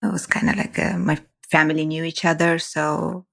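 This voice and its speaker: A woman from Germany with a German accent, a guest telling her story in a casual interview, speaking haltingly and often restarting her phrases. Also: low-pitched, little pitch movement, gravelly. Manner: halting, restarts phrases, frequent agreeing yeahs. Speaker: guest, real estate investor.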